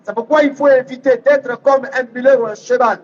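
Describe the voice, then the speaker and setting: Guy preaching islam, really high voice, teaching hard and repeating lines, muffled lo-fi audio. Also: fairly even intonation, fairly quick, a little mellow. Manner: emphatic, didactic, repeats readings phrase by phrase. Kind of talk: public religious debate preaching. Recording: dull, lo-fi sound.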